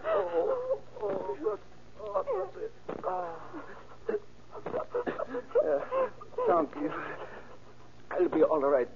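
Gravelly, wounded young man pleading haltingly. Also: extremely high pitch, huge pitch swings, heavily acted. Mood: weak, grateful. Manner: halting, pleading, broken English. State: in pain, weak, groaning. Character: badly beaten, frightened, bleeding young immigrant man. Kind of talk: 1940s radio acting. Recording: noisy, crackly, narrow-band old radio recording.

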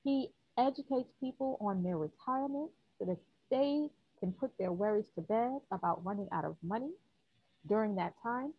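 A woman's voice: medium pitch, animated, normal speed, husky, no room sound, highs cut off. A woman hosting a podcast interview, introducing her guest and asking him questions. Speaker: podcast host.

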